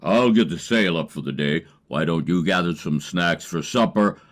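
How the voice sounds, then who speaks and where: A man playing a laconic, easygoing, dry-humored backwoods survivor in an audio drama, speaking tersely and deadpan. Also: deep voice, moderate pace. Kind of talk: amateur audio drama acting.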